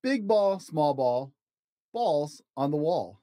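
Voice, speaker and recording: A man hosting a storytime show and reading a children's book aloud expressively, in deliberate short phrases with an encouraging manner. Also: on the high side, very animated, mellow, no echo, studio quality. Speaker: podcast host reading picture books aloud.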